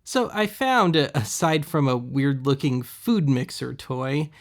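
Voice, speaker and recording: A man hosting a web series, giving casual, deadpan, wry voice-over commentary on thrift finds. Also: medium pitch, measured pace. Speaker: host of a web video series.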